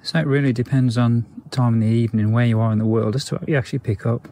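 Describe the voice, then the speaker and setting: A man's voice: mid-range voice, steady tone, fast talker, mellow. A man giving a casual, hands-on product review in an understated, matter-of-fact and candid way.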